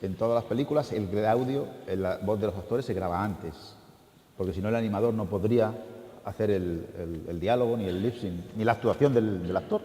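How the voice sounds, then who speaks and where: A man's voice: on the low side, brisk, dark tone. A man speaking as an animator at a public talk, explaining informally and often repeating his phrases as he goes. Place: large room at an art school event. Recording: echoey hall, distant reverb, background noise.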